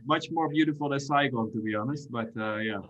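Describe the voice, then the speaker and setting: Bright-voiced Dutch man around thirty. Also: mid-range voice, a little fast, dull audio, slight background noise. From Netherlands. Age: 30s. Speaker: podcast guest. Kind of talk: casual chat.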